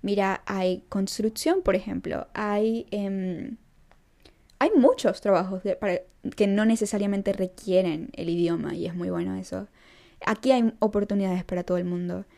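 A woman's voice: mid-range voice, normal speed, vocal fry. A young woman from Venezuela with a Venezuelan accent, chatting animatedly to her viewers in a casual vlog. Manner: animated, talks straight to viewers, keeps asking "¿me entiendes?". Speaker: vlogger. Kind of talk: casual chat to camera.

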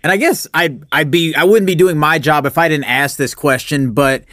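A man's voice: a little high, normal speed, bright, forceful tone, no room sound, clean studio sound. A man hosting a casual podcast interview, agreeable and often affirming his guest.

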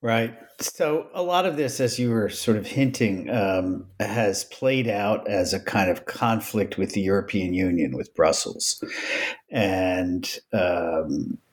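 Male podcast host, very deep voice, measured summarizing delivery. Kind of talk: podcast discussion.

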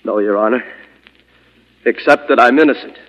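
A man's voice: mid-range voice, normal speed, fairly bright tone, dull audio, close to an everyday voice. An actor playing an anguished, vengeful young accused man, earnest and melodramatic, in old radio drama style. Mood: pleading. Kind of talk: 1940s radio acting.

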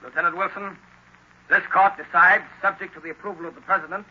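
Dutiful 1930s radio officer: high, husky voice, official and declamatory. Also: male voice, fairly even intonation, fast talker. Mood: official, grave. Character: formal, dutiful military officer announcing orders. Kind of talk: 1930s radio drama acting. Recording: muffled 1930s radio recording.

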